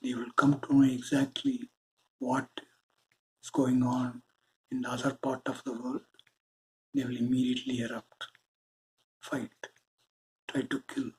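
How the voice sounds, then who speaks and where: A man with an Indian English accent, giving a slow, earnest spiritual monologue with deliberate pauses. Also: mid-range voice, a little hiss or hum, low-quality recording. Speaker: spiritual channel host.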